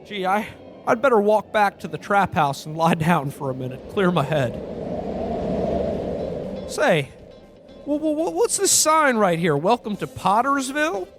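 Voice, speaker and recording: A man's voice: high-pitched, sing-song, a little slow, pauses often, music in the background. A man playing a bewildered, frantic everyman in a radio-play parody, stammering and full of old-fashioned folksy exclamations. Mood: flustered. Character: frantic, bewildered everyman in an alternate Christmas reality.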